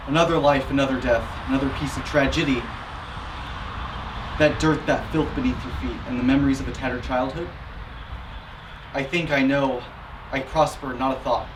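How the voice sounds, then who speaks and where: A pompous, angsty amateur poet played by a man declaims slam poetry in a short film. He is picked up from a distance, with clear background rumble. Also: fairly even intonation, moderate pace, long pauses, close to an everyday voice. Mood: anguished reciting. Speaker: poet performing on stage.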